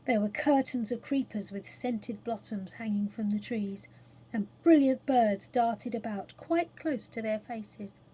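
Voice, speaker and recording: Female narrator for children's fiction: expressive read, higher register, faintly husky. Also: measured pace, rough recording. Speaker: storyteller.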